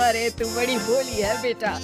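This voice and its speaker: A woman's voice: on the high side, fairly even intonation, measured pace, close to an everyday voice. A woman playing a greedy, scheming middle-aged mother in a cartoon story, with a dramatic, scolding and wheedling manner. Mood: coaxing. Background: background music.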